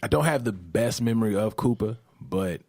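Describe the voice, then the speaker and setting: A man's voice: on the low side, lively intonation, moderate pace, a little mellow, no room sound, clean studio sound. A man in casual, joking podcast banter, slangy and sweary. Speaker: podcast panelist.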